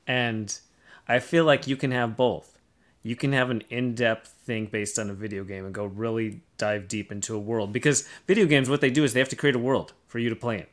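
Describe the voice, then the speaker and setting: A man's voice: mid-range voice, steady tone, brisk. A man co-hosting a podcast, talking casually and giving his opinions in an explanatory, emphatic way. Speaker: podcast co-host.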